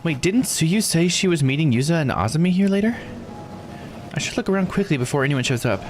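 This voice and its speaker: A man's voice: a little high, expressive, a little fast, faint music behind, pitched above the performer's own voice, close to an everyday voice. A man voicing an anxious, easily startled investigator while reading visual novel dialogue aloud. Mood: wary, pondering. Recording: faint background noise.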